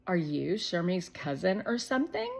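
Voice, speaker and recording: A woman's voice: lowish voice, very animated, normal speed, gravelly, full character voice. A woman voicing a curious, friendly young neighbor girl in a storybook, inquisitive and chirpy.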